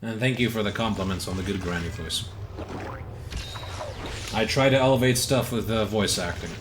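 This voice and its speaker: Low, bassy male game streamer. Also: moderate pace, background noise. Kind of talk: casual rambling live stream commentary. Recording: music behind the voice. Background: game music.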